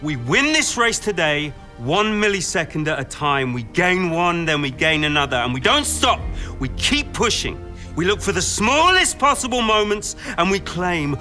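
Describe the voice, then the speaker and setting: An actor playing an earnest, driven racing team boss in a naturalistic game cutscene, informal and emphatic, sometimes halting. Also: male voice, sits high, big pitch swings, sharp tone, faint music behind, exaggerated voice. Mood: rousing, determined.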